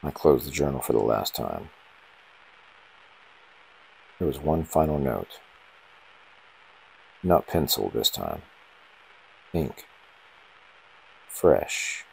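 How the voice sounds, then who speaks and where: A man reading a scripted first-person horror story in short, ominous, dramatic phrases, with a gravelly, husky voice. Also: rumbling low, sing-song, slow pace, pauses often, darkish tone, rough recording.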